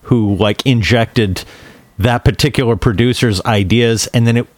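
A man with a mid-pitched voice talks casually as a podcast panelist. He is opinionated, and his voice is recorded dry, without room sound. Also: unhurried, no room sound. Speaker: film discussion podcast panelist. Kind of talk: casual chat.

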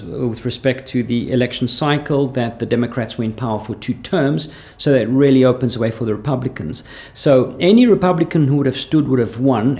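A man with a slight South African accent speaks as a professor, laying out his research in a measured, considered way. His voice sits at a middling pitch with a gravelly edge, and he pauses often with "uh" and "um" as he thinks. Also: darkish tone. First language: Greek heritage, born in South Africa. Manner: measured, thoughtful, with frequent "uh" and "um" pauses. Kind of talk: explaining research to camera.

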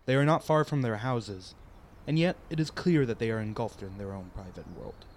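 An actor playing the narrator of a radio play, reading scripted scene descriptions. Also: male voice, mid-range voice, lively intonation, moderate pace, slight background noise, natural voice. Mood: storytelling.

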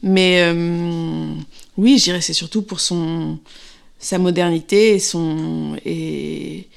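A woman's voice: on the low side, steady tone, takes it slowly, vocal fry, clean tone. A woman in her thirties, an actress answering interview questions, pregnant, speaking hesitantly with many fillers and restarts.

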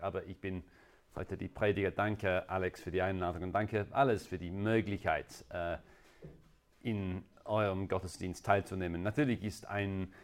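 A man from England with an English accent, a native English speaker preaching as a guest in halting, deliberate non-native speech. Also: sits low. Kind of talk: sermon.

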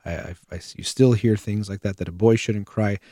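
A man hosting a show, giving a reflective, measured talk on parenting with thoughtful pauses. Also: on the low side, steady tone, warm tone, dry recording, studio quality. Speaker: host.

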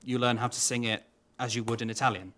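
An opera singer talks through audience questions at an even middle pitch. He is thoughtful and measured, pausing on fillers as he works out his answers. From Wales.

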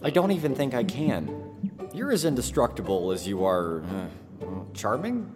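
A man's voice: mid-range voice, very animated, moderate pace, natural voice. An actor playing a young male wizard apprentice, exasperated and sarcastic, in a fantasy audio drama. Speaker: Udo. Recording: long reverb with music behind.

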